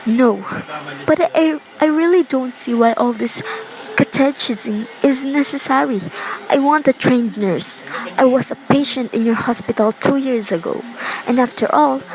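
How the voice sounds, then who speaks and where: Woman acting high-voiced, anxious, demanding invalid wife. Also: measured pace, a little mellow, low-quality recording. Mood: nervous, demanding. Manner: imperious, pleading, agitated. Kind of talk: radio play acting. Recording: faint background noise.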